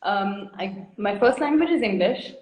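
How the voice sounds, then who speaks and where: A woman's voice: mid-range voice, animated, measured pace, darkish tone. Frank, rambling woman in an echoey room. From India. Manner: rambling, frank, laughs often. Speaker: guest artist. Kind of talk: casual interview chat.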